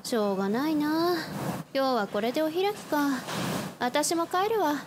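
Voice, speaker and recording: A woman playing a blunt, easygoing tomboyish high school girl in an anime-style radio drama, with a casual manner. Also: big pitch swings, moderate pace, background noise. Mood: casual, resigned. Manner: casual, offhand.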